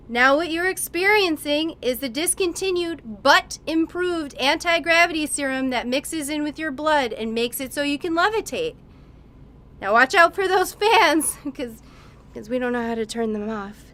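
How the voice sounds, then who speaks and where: Callous lady scientist played by a woman, fairly high voice, breezy theatrical cheer, sci-fi radio comedy. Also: expressive, measured pace, fairly bright tone. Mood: gleefully reassuring. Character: breezy, callous female lab scientist and presenter.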